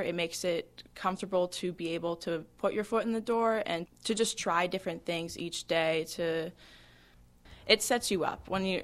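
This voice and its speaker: A woman's voice: lowish voice, fairly bright tone. A woman answering interview questions hesitantly, restarting her sentences.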